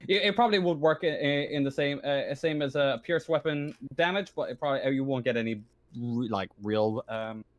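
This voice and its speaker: Casting: male game master, halting and stammering, improvising tabletop narration, very dry recording. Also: mid-range voice, dry recording, lo-fi sound. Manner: halting, stammering, rephrasing often.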